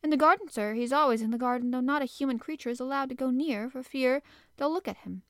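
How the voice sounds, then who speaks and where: A woman's voice: fast talker, no echo, studio quality. Woman playing a fussy flustered housekeeper. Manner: breathless, fussy, higher and louder than the narration. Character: flustered middle-aged female housekeeper, anxious to please.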